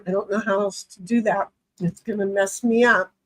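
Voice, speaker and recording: A woman's voice: steady tone, normal speed, fairly bright tone, poor audio. A woman streaming a card game, chatting and rambling from a hot room at home. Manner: chatty, rambling, self-described high pitchy voice. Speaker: streamer playing online cards with friends. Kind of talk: casual chat while gaming.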